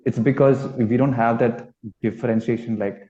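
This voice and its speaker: A man's voice: medium pitch, steady tone, normal speed, warm tone. A man with an Indian accent answering interview questions as a band musician, reflective and rambling as he searches for his words. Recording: dull, lo-fi audio.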